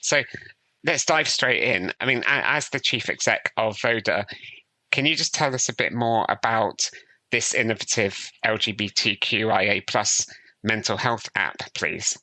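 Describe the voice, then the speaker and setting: A man's voice: fairly low pitch, a little slow, bright, forceful tone, no echo, low-quality recording. A man hosting a podcast, polite and enthusiastic, introducing his guest and asking questions with a few hesitant restarts. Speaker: podcast host. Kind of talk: friendly interview hosting.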